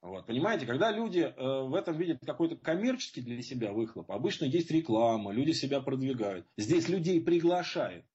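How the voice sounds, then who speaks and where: Husky guy explaining his opinion off the cuff, point by point, kinda muffled lo-fi recording. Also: mid-range voice, very animated, brisk, few pauses, darkish tone. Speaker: guest speaker at support group seminars. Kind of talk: informal spoken answer to a question. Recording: dull lo-fi audio.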